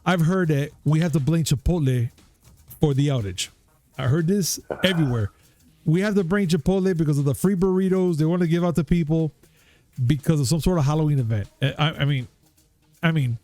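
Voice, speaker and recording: A man in his thirties with a fairly high, upbeat voice hosts a gaming news chat with loose, hyped banter. He is recorded close and dry, with no room sound at all. Faint music sits quietly underneath. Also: brisk, no echo. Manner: hyped, laid-back banter. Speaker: podcast host.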